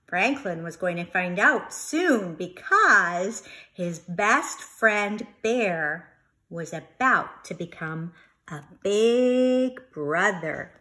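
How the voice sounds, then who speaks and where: Bright, animated woman reading to young children. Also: mid-range voice, extremely slow. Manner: instructive, asking questions and repeating key words. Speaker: reader of a children's book, teacher. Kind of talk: expressive read-aloud for young children.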